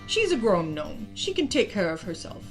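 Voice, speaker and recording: A woman's voice: medium pitch, huge pitch swings, moderate pace, music in the background. A woman playing a courteous, protective adventurer in a fantasy audio story, firm and reassuring.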